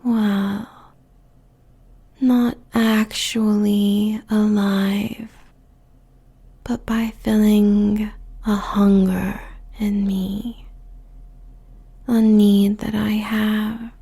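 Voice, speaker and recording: A woman's voice: medium pitch, little pitch movement, drawn-out words, long pauses, warm tone, husky, full character voice. A woman playing a seductive, possessive demon succubus queen in a roleplay audio, whispering breathily and savouring her words with teasing sensuality. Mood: hungry, sensual.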